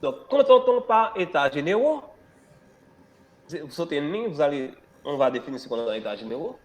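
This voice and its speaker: A man teaching history in a classroom, hoarse from a cold, dictating slowly, repeating phrases and spelling words out letter by letter. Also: fairly high pitch, sing-song, a little mellow, slight background noise. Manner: emphatic rising stresses, rhetorical questions.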